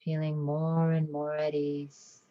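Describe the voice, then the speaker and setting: A woman leading a guided meditation with calm, gentle, soothing instructions and long pauses between phrases. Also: low voice. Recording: dull lo-fi recording.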